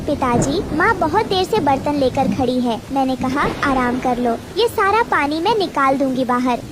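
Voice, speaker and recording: A woman's voice: sits high, expressive, normal speed. A woman voicing a sweet, dutiful little girl bird with a childlike, pleading manner in a cartoon, with storm noise. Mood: caring. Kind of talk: Hindi cartoon story voice acting. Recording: noisy with rain and thunder.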